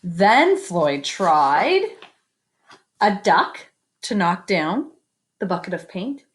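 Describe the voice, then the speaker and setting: Expressive, slow-paced woman reading a picture book. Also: lowish voice. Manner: expressive, pauses to comment and invite predictions. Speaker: read-aloud storyteller. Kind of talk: children's picture book read-aloud with asides to listeners.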